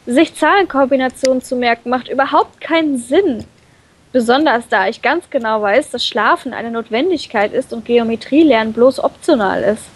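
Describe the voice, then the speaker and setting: A woman reading a visual novel aloud in an animated, playful way, breaking off into her own comments. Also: fairly high pitch, normal speed, slightly rough. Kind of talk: expressive let's play read-aloud.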